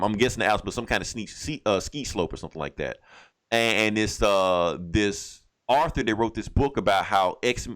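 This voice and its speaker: Low voiced guy on a podcast, rambly slangy recap, swears a bit, super casual. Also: a bit bright. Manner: rambling, slangy, acts out lines in passing. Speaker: podcast co-host reviewing comic books. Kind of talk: casual, profane storytelling recap.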